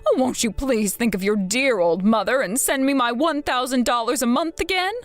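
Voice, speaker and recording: A woman voicing a manipulative, whining mother who pleads and wheedles in a high voice for money in a dramatized story. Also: moderate pace, dry recording, faint music behind, natural voice.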